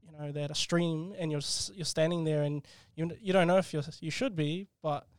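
A man's voice: on the high side, fairly even intonation, moderate pace, no echo. A man in his twenties with a New Zealand accent, a guest entrepreneur talking casually and hesitantly about himself. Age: 20s. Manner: soft-spoken, hesitant, many restarts. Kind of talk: casual interview chat.

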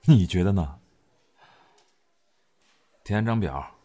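A man acting a cool, teasing young friend in an audio drama, with a husky, laid-back delivery. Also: deep voice, animated, takes it slowly, warm tone, heavily acted. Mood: cool, smug.

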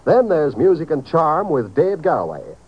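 A dull, vintage 1950s radio recording carries an American man announcing for a network. His voice sits fairly high and moves with great animation. He is polished and upbeat, selling every phrase like a practised pitchman. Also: sing-song, normal speed, husky.

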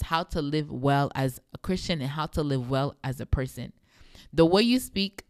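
A young woman with a deep voice hosting a devotional podcast, speaking emphatically and repeating phrases for effect. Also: smooth, no room sound, studio quality. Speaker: podcast host.

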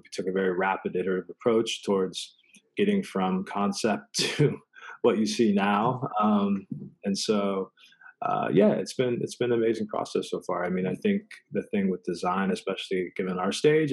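A man's voice: sits low, rough recording. A man explaining his work as an interview guest in a casual, rambling way, full of verbal tags. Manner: rambling, peppered with "you know" and "right". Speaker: product designer, interview guest.

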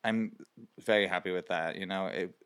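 Young man from Belgium, drag performer, low smooth voice, rambling animated interview talk. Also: normal speed. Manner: chatty, lots of fillers, animated quoting. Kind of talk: casual interview.

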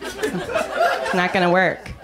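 A woman in her thirties from Canada performs stand-up on a club stage, chatty and quick to laugh at her own lines. Her pitch swings widely from line to line. The live recording carries clear crowd noise underneath. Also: huge pitch swings. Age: 30s. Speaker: stand-up comedian. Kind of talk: stand-up set. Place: comedy club stage with audience.

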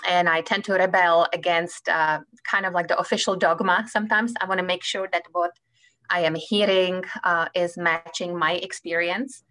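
A woman's voice: on the low side, steady tone, normal speed, fairly bright tone. A woman in her fifties from the Czech Republic with a Czech accent, telling her story as a guest on a podcast and laughing between phrases. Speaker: guest physician. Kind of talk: casual interview chat.

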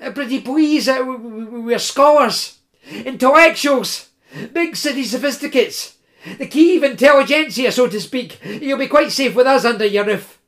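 A man's voice: very high voice, normal speed, fairly bright tone, voice pushed up. A man doing Koma, a stammering jittery student. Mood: wheedling. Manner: stammering, wheedling, comic. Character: jittery hungry young male student, Koma. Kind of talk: storybook character voice.

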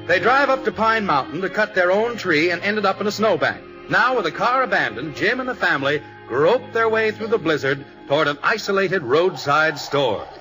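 A man's voice: high voice, expressive, normal speed, few pauses, pushed and bright, soft background music, rough recording. A man with an American accent giving wry, conversational scripted narration for a vintage radio sitcom. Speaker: narrator-announcer.

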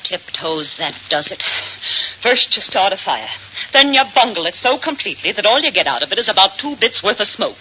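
A caustic, gloomy female accomplice in a vintage radio crime drama. Her delivery is dry, cutting and unimpressed, with a very bright, hard edge over a faintly noisy old recording. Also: medium pitch, sing-song, fairly quick. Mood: exasperated. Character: caustic, morose woman accomplice of a crook.

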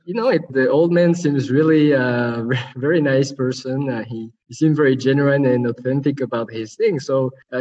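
A man's voice: medium pitch, darkish tone. A man in his thirties from Switzerland, a podcast guest, telling his life story in a rambling, self-correcting way over a remote call. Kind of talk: conversational interview over a call. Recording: distant, dull remote line.

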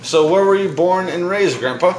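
A man's voice: high voice, moderate pace, darkish tone. A young man hosting a podcast, interviewing his grandfather with hesitant, filler-heavy questions. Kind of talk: casual family interview. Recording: echoey room, faint noise.

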